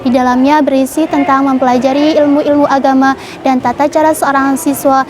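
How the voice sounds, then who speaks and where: A woman's voice: high voice, flat delivery, fairly quick. A young woman student giving a careful, recited testimonial about her school. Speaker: madrasah student. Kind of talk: testimonial to camera. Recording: noisy background.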